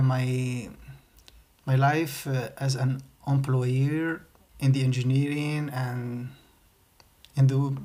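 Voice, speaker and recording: A man from Algeria with an Algerian accent, speaking as a podcast guest and searching for his words. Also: medium pitch. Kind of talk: informal interview talk.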